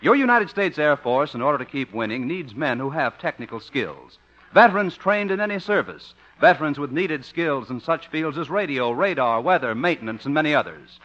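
Male vintage radio announcer, high and forceful, formal declamatory sponsor read, muffled period recording. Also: big pitch swings, normal speed.

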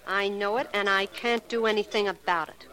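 A woman's voice: mid-range voice, steady tone, moderate pace, bright, forceful tone, close to an everyday voice, poor audio. A woman playing an unhappy, lovelorn young stage assistant, resigned and plaintive, in 1940s radio melodrama style. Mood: lovesick, resigned.

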